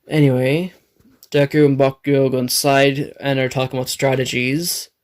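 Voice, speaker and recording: A man with a raspy, dry throat, narrating an improvised fan story, rambling and correcting himself. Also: mid-range voice, little pitch movement, normal speed. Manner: rambling, self-correcting, swears.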